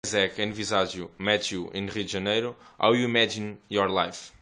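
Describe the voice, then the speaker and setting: A man's voice: lowish voice, steady tone, normal speed, sharp tone. A man interviewing a job applicant with short, direct questions.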